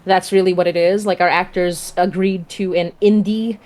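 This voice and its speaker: A woman's voice: fairly low pitch, steady tone, moderate pace, a little mellow. A woman speaking as a guest creator in casual livestream chat, effusive and emphatic in the way she talks. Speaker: audio drama creator, guest.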